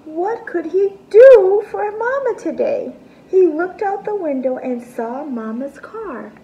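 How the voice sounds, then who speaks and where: A woman in her thirties reading a picture book aloud to children like a teacher, expressive and deliberate. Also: extremely high pitch, highs cut off, a little hiss or hum. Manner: animated, deliberate, explains terms simply. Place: small decorated room at home, indoors.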